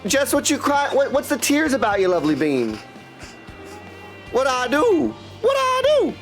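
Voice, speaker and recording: A man's voice: very high-pitched, wildly swooping pitch, normal speed, vocal fry. A man chatting casually with viewers as he hosts a game livestream, hopping between replies and catchphrases. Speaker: livestream host playing a video game; voice actor and audiobook narrator. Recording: music playing behind the voice. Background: music.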